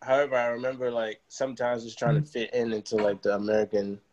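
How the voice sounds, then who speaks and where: A man's voice: mid-range voice, steady tone, quick pace, darkish tone, highs cut off, slight background noise. A young Nigerian-born man, a musician, talking loosely in a casual interview with lots of fillers and restarts. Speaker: musician guest.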